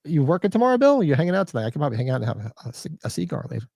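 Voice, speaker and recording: A man co-hosting a live podcast, chatting loosely, joking and reading chat comments, a little hoarse. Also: on the high side, sing-song, rapid-fire, darkish tone, no room sound, clean studio sound. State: losing his voice. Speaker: podcast co-host. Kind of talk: casual live-stream chat.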